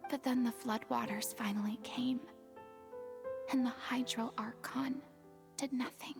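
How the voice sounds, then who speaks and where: A haunted, theatrical young woman from an anime game, lonely and confessional. Her voice is high, fraying into creak, with breathy phrase endings and formal, wistful wording. Music plays behind her. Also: fairly even intonation, unhurried. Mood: haunted, grieving.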